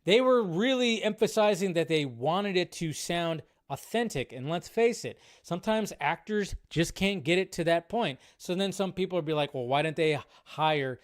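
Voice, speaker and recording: Man, high voice, dry close sound, casual film-news chatter, trips on names. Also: animated, normal speed, hardly any pauses, no room sound. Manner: chatty, reads news aloud, stumbles over names.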